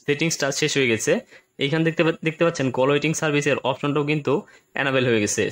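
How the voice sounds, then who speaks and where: A man's voice: on the high side, a bit bright. A man presenting a step-by-step phone tutorial, explaining things with repetitive phrasing.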